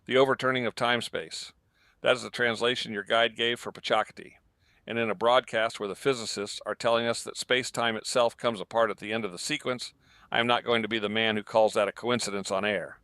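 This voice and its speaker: A man playing a careful, earnest correspondent in a radio news drama, speaking in a measured way. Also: mid-range voice, steady tone, pushed and bright, smooth, no echo.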